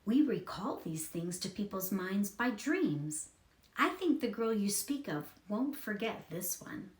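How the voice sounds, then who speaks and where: A woman voicing a kindly male messenger spirit in a storybook, guiding gently and instructively. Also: medium pitch, very animated, unhurried, roomy sound, recorded from a distance. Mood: knowing. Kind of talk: storybook character reading.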